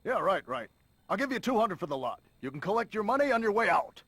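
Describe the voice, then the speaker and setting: A man's voice: sits high, big pitch swings, fast, fairly bright tone, breathy edge, no echo, heavily acted. An actor playing a stingy, impatient newspaper publisher in a video game, barking his lines brusquely. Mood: impatient, gruff.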